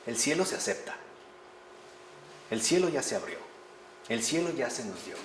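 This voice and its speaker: A man teaching a lively lecture on faith, often posing rhetorical questions to his listeners. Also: mid-range voice, moderate pace, a little hiss or hum. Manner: animated, rhetorical questions to the audience. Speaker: priest teaching a class. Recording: echoey room with faint ambience.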